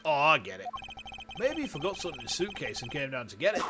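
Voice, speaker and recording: A man's voice: fairly high pitch, sing-song, fast talker, loud music behind the voice. A man with a Yorkshire accent voicing an eager, naive male detective sidekick.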